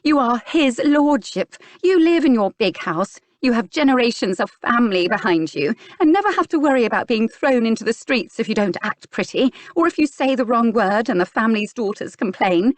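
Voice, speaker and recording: A woman's voice: medium pitch, sing-song, normal speed, no echo, voice pushed up, lightly acted. A woman voicing a prim, straight-laced young lady who grows indignant and furious. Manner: indignant, rising to anger.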